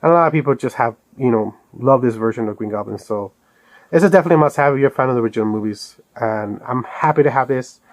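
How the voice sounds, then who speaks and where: A man's voice: medium pitch, fast, dry recording, low-quality recording. A man giving a casual, rambling product review as an enthusiastic fan of collectibles. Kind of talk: casual unboxing review vlog.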